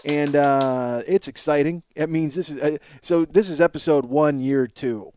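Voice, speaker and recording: Slightly hoarse, higher-voiced man, podcast host. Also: unhurried, darkish tone, no room sound, clean studio sound. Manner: profane, self-deprecating, rambling. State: slightly hoarse from strained singing. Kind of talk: casual comedic solo monologue.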